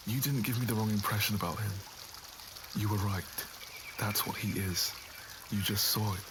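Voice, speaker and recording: A man's voice: lowish voice, moderate pace, natural voice. A man playing an earnest, lovesick nobleman in an ASMR roleplay, with formal, wounded phrasing. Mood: protective, upset. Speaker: voice actor in an audio roleplay. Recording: audible water and outdoor ambience noise.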